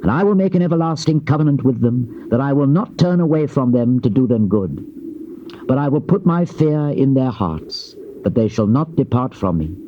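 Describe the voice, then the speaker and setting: An actor playing a fervent, solemn Old Testament prophet in a theatrical scripture drama, with grave, declamatory and measured archaic delivery. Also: male voice, medium pitch, animated, close to an everyday voice. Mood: solemn promise. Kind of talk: dramatized King James scripture reading, theatrical. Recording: muffled old cassette tape with hiss.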